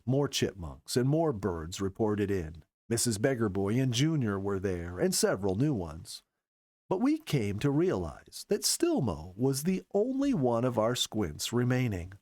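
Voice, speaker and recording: A male storyteller reads narrative prose in an earnest, careful way. His mid-pitched voice moves up and down in lively swoops, and the sound is close and dry. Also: dry recording. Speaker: storyteller reading a book aloud. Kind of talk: expressive scripted first-person narration.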